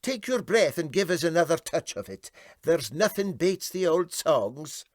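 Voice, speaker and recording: A man's voice: a little high, big pitch swings, measured pace, no room sound, studio quality, pitched above the performer's own voice. A man playing a soothing, sympathetic, philosophical ship's mate, in a storybook reading. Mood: approving. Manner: placid, philosophical.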